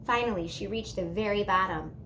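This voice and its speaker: A woman reading a children's storybook aloud in an expressive narrating style. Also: medium pitch, a little fast, a little hiss or hum, poor audio. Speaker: storybook reader.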